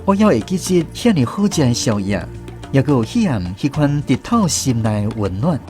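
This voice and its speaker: Guy playing a troupe director looking back on old times, taiwanese accent, really lively intonation, storytelling radio drama, music under it. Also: mid-range voice, normal speed, natural voice. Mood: reflective. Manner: storytelling, reminiscent. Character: reflective male troupe director recalling touring days. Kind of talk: scripted radio drama narration.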